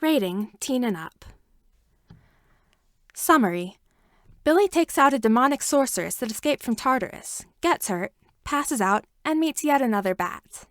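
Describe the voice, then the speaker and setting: Female podfic reader, fairly high voice, dry close sound, expressive storyteller. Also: measured pace, dry recording. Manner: expressive storytelling, shifting emphasis. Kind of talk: reading fan fiction aloud.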